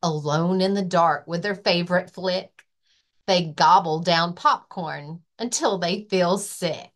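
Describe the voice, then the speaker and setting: A woman librarian reading a children's rhyming picture book aloud with expressive, rhythmic delivery. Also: lowish voice, takes it slowly. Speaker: librarian and story-time reader.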